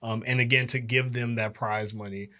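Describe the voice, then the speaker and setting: A man in his forties, a guest in a casual interview, explaining things step by step and pausing often on um and uh. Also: mid-range voice, little pitch movement, sharp tone. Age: 40s. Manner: explains step by step, halting with frequent um and uh. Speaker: program director, guest.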